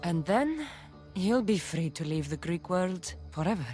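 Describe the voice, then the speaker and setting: A woman's voice: lowish voice, expressive, measured pace, warm tone, faint music behind. A woman playing a confident, tough mercenary of ancient Greece in a dramatic video game. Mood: confident, resolute.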